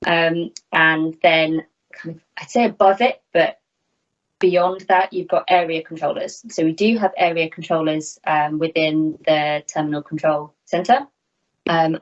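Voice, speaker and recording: Low-pitched woman on a video call. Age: 30s. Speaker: air traffic controller, interview guest. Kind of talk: conversational interview answers. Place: small home room, indoors. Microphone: video call, laptop at arm's length. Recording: slightly dull video-call audio.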